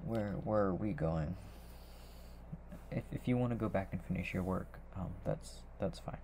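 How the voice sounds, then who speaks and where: A young man playing a tender, needy, giggly boyfriend in an intimate ASMR roleplay, speaking softly and haltingly. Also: low voice, a little hiss or hum. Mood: flustered, startled. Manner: soft, hesitant, halting.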